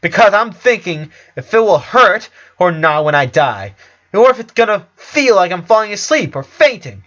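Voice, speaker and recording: A man's voice: high voice, big pitch swings, normal speed, a bit bright, muffled recording, pitched above the performer's own voice. A man voicing a defiant, wounded eleven-year-old boy in a story reading, speaking with insistent emotion. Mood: bitter, defiant. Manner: insistent, emotional, repetitive phrasing.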